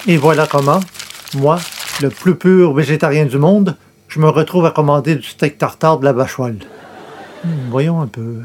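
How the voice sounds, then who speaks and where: An actor playing a grumbling, playful newspaper columnist with theatrical, hesitant asides, in the style of 1940s radio comedy. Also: male voice, on the high side, normal speed, a little hiss or hum, natural voice. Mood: dismayed.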